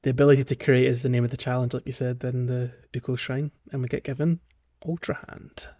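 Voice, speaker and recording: A man co-hosting a casual podcast chat about video games, talking in a hesitant, halting way. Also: mid-range voice, little pitch movement, mellow, muffled recording. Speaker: podcast co-host.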